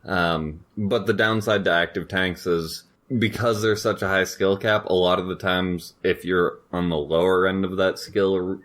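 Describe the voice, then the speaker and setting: Male podcast co-host, very low voice, casual gaming discussion, measured and halting delivery. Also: steady tone, a bit bright.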